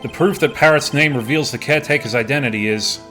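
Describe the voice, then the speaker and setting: An earnest young lawyer, played by a man in a fan game dub. He argues insistently in a mid-range voice, with music clearly heard behind him. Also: medium pitch, quick pace, sharp tone, close to an everyday voice. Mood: determined. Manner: emphatic courtroom argument. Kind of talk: amateur video game dub.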